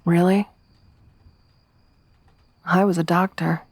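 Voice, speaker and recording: A woman voicing a tough young woman survivor around thirty in an ASMR roleplay, soft-spoken with dry humor. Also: on the low side, level tone, takes it slowly, no echo. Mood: relaxed. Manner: hushed whispering when hiding.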